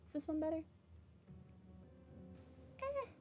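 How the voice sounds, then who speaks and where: A woman's voice: very high-pitched, animated, slow, dark tone. A woman giving casual playthrough commentary as a game streamer, with dry, muttered asides. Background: game music.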